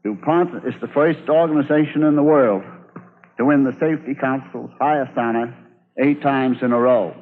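A man's voice: mid-range voice, moderate pace. An American man delivering a formal prepared award speech on 1950s radio. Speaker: safety organization official giving award speech. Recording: tinny old radio, long hall reverb.

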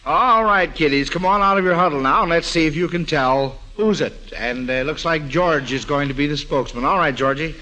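A man's voice: on the high side, brisk, few pauses, bright, forceful tone, poor audio. An American man hosting a 1940s-style radio quiz, addressing child contestants in an avuncular way on an old broadcast recording. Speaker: quiz show host.

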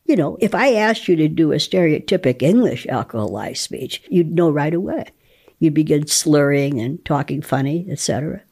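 A woman speaks in a lowish voice with very varied intonation. She reads research dramatically and explains it plainly and emphatically, as an expert guest. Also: animated, normal speed, a little mellow, husky. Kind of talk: dramatic reading and informal explanation.

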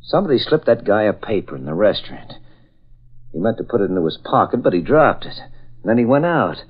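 A man's voice: lowish voice, very animated, fast talker, husky. An actor playing a plucky, easygoing newspaperman with slangy talk in a 1940s radio drama. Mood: groggy, good-natured. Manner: slangy, colloquial. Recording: muffled old radio recording.

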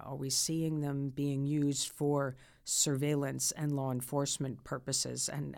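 A man's voice: medium pitch, vocal fry. A man hosting an interview, putting questions in a conversational way. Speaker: interviewer, host.

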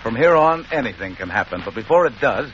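A man's voice: mid-range voice, animated, fast, fairly bright tone. A man announcing and narrating a 1940s radio theater show in a scripted style. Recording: muffled, hissy old 1940s radio broadcast.

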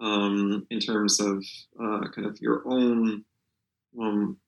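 A man's voice: fairly low pitch, flat delivery, slow, gravelly, echoey room, lo-fi sound. A man who works as a literary translator, answering interview questions in a hesitant way, with many fillers and restarts.

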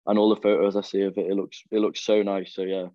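A man's voice: low voice, little pitch movement, fast talker, mellow, dry recording. A young man, chatting as a podcast guest and rambling through plenty of fillers and restarts. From the Wirral, England. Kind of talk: casual chat. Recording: dull lo-fi, dry.

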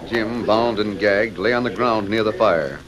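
A man's voice: fairly low pitch, unhurried, a crowd in the background, low-quality recording. A man narrating a scripted old-time radio drama as its storyteller. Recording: vintage radio recording with loud music.

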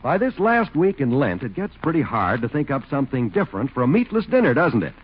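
A man's voice: medium pitch, very animated, a little fast, slight background noise. A man announcing a scripted 1950s radio commercial with folksy, emphatic salesmanship. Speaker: announcer reading a sponsor's ad. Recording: dull old radio recording.

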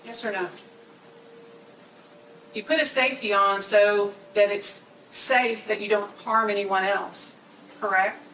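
A woman's voice: medium pitch, steady tone, takes it slowly, pauses often, a bit bright, recorded from a distance, slight background noise. A woman prosecutor cross-examining a witness in court, insistently demanding yes or no answers. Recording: echoey courtroom audio from afar.

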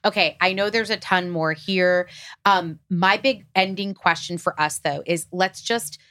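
A woman's voice: fairly low pitch, unhurried, a bit bright. A woman hosting a podcast, interviewing in an informal, affirming, conversational way. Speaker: podcast host.

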